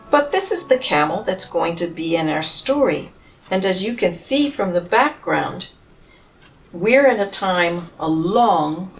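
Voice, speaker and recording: Woman storyteller for children, slow and gentle, lots of pitch movement, recorded from a distance. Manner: gentle, explains pictures and asks children questions. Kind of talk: picture-book read-aloud.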